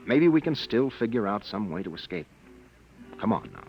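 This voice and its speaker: An actor playing a worried husband on the run through time, soothing and hushed, in a 1950 radio drama. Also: male voice, lowish voice, very animated, normal speed, creaky. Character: worried time-traveling husband, adult man. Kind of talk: 1950s radio drama acting. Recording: dull lo-fi 1950 radio broadcast with music.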